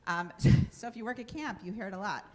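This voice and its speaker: A woman rabbi telling a story to her congregation in a conversational, animated way. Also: mid-range voice, fairly quick, slight background noise, rough recording. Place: synagogue sanctuary.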